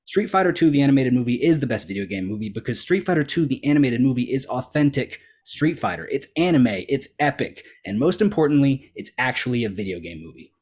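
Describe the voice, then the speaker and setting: A man's voice: medium pitch, animated, fast, few pauses, darkish tone. A man with a mid-range voice makes a prepared case as a debater. He is sarcastic and emphatic, with casual swearing. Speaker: debater on a movie show. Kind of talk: prepared persuasive argument with sarcastic jabs.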